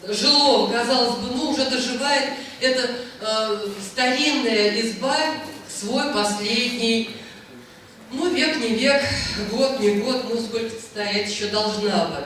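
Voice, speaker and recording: A woman tells a chatty, wandering story at a book presentation, often pausing to find her words. Her tone is bright and forceful. She is heard from some way off in a booming, echoey hall, with faint noise underneath. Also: recorded from a distance. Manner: chatty, rambling, frequent hesitations. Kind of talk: anecdotal storytelling talk.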